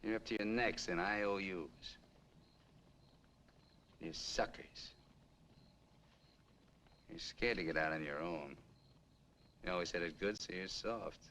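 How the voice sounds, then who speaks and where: An actor playing a bitter, menacing armed killer in 1950s film noir style, with an American accent and tough, slangy talk. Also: male voice, deep voice, slow pace, long pauses, a bit bright, full character voice. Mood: bitter, boastful. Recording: noisy old film soundtrack.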